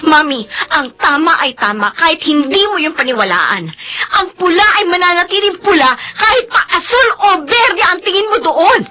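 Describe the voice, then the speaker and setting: Hot-headed daughter in a radio soap, super high bright voice, emotional and confrontational, bit of music behind. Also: female voice, expressive, moderate pace, exaggerated voice. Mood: tearful, furious. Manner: emotional, tearful shouting and sharp confrontation. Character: hot-headed, defiant daughter protective of her widowed mother. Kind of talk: melodramatic radio drama acting.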